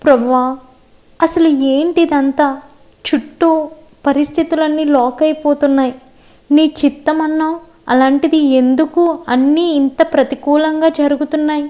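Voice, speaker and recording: A woman's voice: sits high, fairly even intonation, normal speed, dark tone, close to an everyday voice. A woman playing an anxious, devout and stubbornly loyal young woman in a web series drama, with an earnest, pleading manner. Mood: distressed, fearful.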